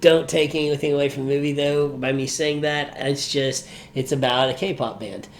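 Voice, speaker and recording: A man's voice: a little high, steady tone, normal speed. A man co-hosting a podcast, giving his opinions in a casual, conversational way. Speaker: podcast co-host. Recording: faint background noise.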